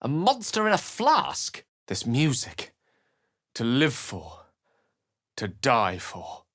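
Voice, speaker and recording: An actor playing a scholarly, eloquent wizard companion in a video game, with an articulate, theatrical manner. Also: male voice, medium pitch, wildly swooping pitch, slow, fairly bright tone, no room sound, clean studio sound, full character voice. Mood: wry, courteous, then reverent, musing. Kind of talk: video game character acting and narration.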